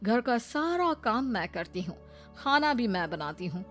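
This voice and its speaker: A woman's voice: on the high side, sing-song, measured pace, fairly bright tone, faint music behind. A woman playing a haughty, scolding middle-aged modern mother-in-law in a Hindi story cartoon, bossy and dropping English words. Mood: complaining.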